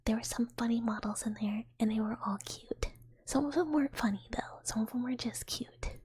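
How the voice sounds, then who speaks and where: A woman whispering softly and breathily in a playful, rambling, casual livestream chat. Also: fairly high pitch, fairly quick, breathy edge, no room sound. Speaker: live streamer.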